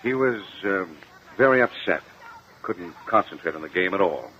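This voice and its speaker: Defensive businessman on the stand. Very deep, hesitant, hissy vintage radio-drama sound. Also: expressive. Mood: uneasy. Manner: halting, hedging, defensive. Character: uneasy, evasive businessman testifying as a witness. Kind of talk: 1950 radio courtroom drama acting. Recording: muffled, hissy old radio recording.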